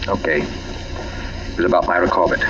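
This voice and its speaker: An actor playing an easygoing, slightly evasive young husband, casual and hesitant, in 1940s radio drama style. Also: male voice, low-pitched, flat delivery, normal speed, natural voice. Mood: affable, evasive. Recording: muffled, noisy 1940s radio recording.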